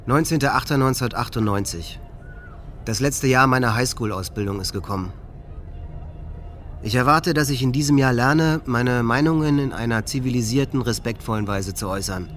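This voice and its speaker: An actor playing an earnest teenage high-school boy reading a formal, measured statement in a radio play. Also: fairly bright tone, slight background noise, natural voice. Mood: earnest, hopeful.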